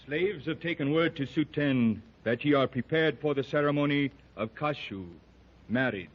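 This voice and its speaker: An actor playing a solemn servant of an ancient kingdom in a 1930s radio serial, speaking in formal, archaic, fatalistic phrases. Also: male voice, a little high, normal speed, close to an everyday voice. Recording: dull old radio recording.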